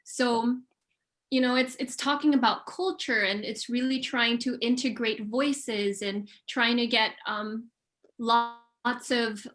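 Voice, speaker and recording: A young woman from the Philippines, speaking with a somewhat high pitch. She answers in an articulate, measured way and pauses to think aloud. Her voice comes through a video-call connection. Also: fairly even intonation, a bit bright. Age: young adult. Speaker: pageant contestant guest. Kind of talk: conversational interview answers.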